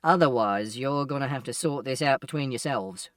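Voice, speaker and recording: A calm, firm policeman, acted by an audiobook narrator. He is official and matter-of-fact, with a dry studio-like sound. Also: medium pitch, moderate pace, smooth, dry recording, recorded from a distance, lightly acted. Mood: brisk, official. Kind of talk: audiobook character acting.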